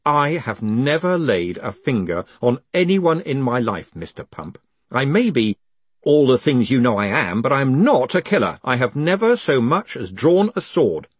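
A man voicing a quick-witted, glib postmaster and conman in an audiobook, forceful, persuasive and easily exasperated. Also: a little high, wildly swooping pitch, slightly rough, no echo, pitched above the performer's own voice, exaggerated voice. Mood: exasperated, indignant.

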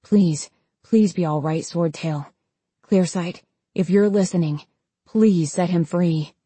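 A woman voicing a gentle young male dragon in an audiobook, earnest and worried, with a pleading manner. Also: on the low side, slow pace, husky, dry recording. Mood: desperate pleading.

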